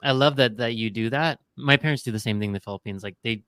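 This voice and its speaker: A man hosting an interview podcast, explaining points to listeners in a relaxed, conversational way. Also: mid-range voice, fast, smooth, dry recording, clean studio sound. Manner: explanatory, drawing business lessons. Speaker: podcast host. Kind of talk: casual interview chat.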